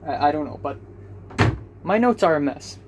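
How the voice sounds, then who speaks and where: A man's voice: a little high, expressive, moderate pace, background noise. Rambling young man vlogging at home. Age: young adult. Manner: rambling, self-mocking, chatty. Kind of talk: casual diary vlog.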